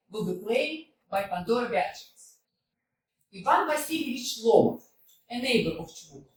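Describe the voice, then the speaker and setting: A woman reading the stage directions and cast list aloud in a measured, matter-of-fact way, recorded from a distance in a roomy hall. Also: on the high side, a little hiss or hum.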